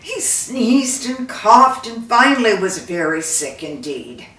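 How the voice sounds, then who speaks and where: An older woman reading a story aloud expressively, with deliberate, measured phrasing. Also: a little high, big pitch swings, fairly bright tone. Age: older, 60s or 70s. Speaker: storyteller, reader. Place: living room by a fireplace. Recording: roomy echo, distant microphone.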